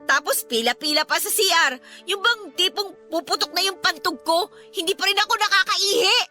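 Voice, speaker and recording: A woman's voice: very high-pitched, normal speed, sharp tone, soft background music, full character voice. A woman playing a distressed, pleading teenage daughter from a poor family in a Tagalog radio drama. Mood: frustrated, weary. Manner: pleading, questioning, respectful to her father.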